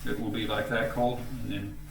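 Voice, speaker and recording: A man's voice: on the low side, flat delivery, moderate pace, creaky, echoey room, recorded from a distance, slight background noise. A man with a New Zealand accent chatting casually and rambling on a video call, with lots of fillers. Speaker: host.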